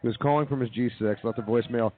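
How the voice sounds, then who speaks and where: A man's voice: medium pitch, steady tone, rapid-fire, soft background music. A man hosting a comedy radio show with casual, cheeky live banter. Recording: tinny narrow phone-like sound.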